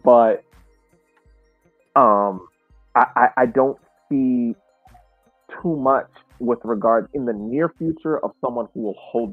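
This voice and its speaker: An American man with a fairly low voice comes through thin, phone-like audio with little room sound. He gives casual sports analysis as a podcast co-host, reasoning point by point in a measured way. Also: dry recording.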